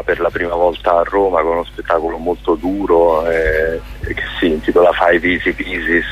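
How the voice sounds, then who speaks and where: A man speaking as a guest in a radio interview, talking in a halting way with many fillers and restarts. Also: low voice, slightly rough. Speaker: festival director guest. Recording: dull lo-fi radio sound.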